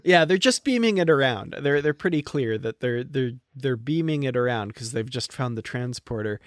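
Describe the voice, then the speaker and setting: A man's voice: medium pitch, lively intonation, moderate pace, no echo. A man co-hosting a podcast, casually talking through a TV episode and chuckling as he goes. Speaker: podcast co-host. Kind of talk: casual TV episode review chat.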